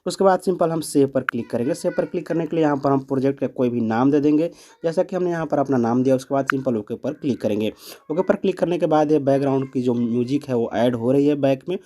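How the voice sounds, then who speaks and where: A man's voice: medium pitch, quick pace, warm tone, a little hiss or hum. A man presenting a step-by-step app tutorial, explaining and repeating each step.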